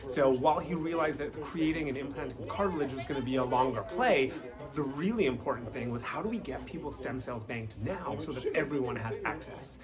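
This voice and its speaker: A Canadian man giving interview answers as a company representative, explaining in a fluent, pitch-like way and often tagging his points with "right?". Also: brisk, music in the background. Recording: crowd chatter in background. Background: murmuring crowd.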